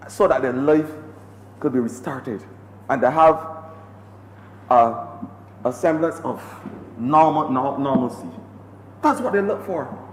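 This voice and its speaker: Barbadian MP orating in an echoey chamber. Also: male voice, fairly high pitch. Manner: impassioned, repetitive oratory. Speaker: member of parliament. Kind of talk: parliamentary debate speech. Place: parliament chamber. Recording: echoey chamber with long reverb and faint hiss.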